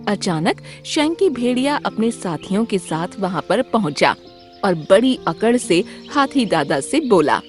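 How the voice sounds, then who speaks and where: A woman's voice: mid-range voice, big pitch swings, measured pace, soft background music. A woman narrator reading a scripted children's animal story.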